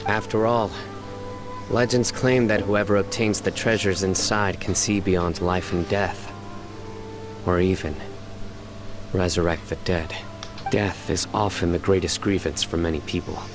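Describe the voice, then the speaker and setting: A man voicing a stern young male investigator in a game, calm and measured. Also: low-pitched, music in the background. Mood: grave.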